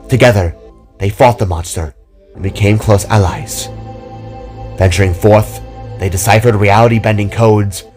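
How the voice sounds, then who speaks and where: A man voicing a solemn, protective motherly fox spirit who explains things gravely in a fantasy story. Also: lowish voice, a little mellow, close to an everyday voice. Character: solemn motherly female kitsune guardian, wise and protective.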